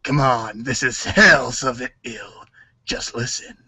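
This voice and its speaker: A man's voice: medium pitch, slow pace, sharp tone, breathy edge, no room sound. A man acting in a comic reader's theater show, goofing around and stumbling through his lines with ad-libs. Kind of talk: comic table read with ad-libs.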